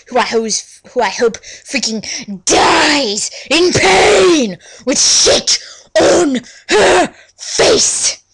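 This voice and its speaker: A woman's voice: fairly high pitch, a bit bright. A woman voicing a vain, whiny sixteen-year-old girl in an overdramatic, mocking reading of fan fiction. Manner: affected, overdramatic, drawn-out delivery.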